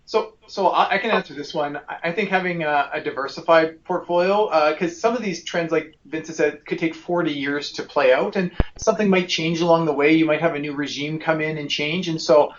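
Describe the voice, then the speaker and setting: Higher-pitched male call host, slightly muffled. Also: fairly quick, few pauses. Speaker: host, financial advisor. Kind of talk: informal moderating of a client conference call. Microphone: conference call line.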